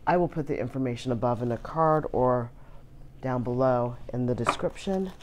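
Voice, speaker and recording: A hoarse woman chatting casually through an unboxing, with many filler words. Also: very deep voice, normal speed, slight background noise. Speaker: vlogger.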